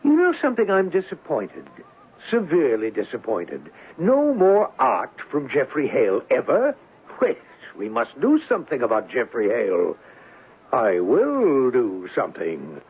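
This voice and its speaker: An actor playing a self-assured, determined traveller with a husky voice and deliberate dramatic delivery, in a 1970s radio drama. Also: male voice, medium pitch, huge pitch swings, full character voice. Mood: disappointed, resolute. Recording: tinny old radio recording.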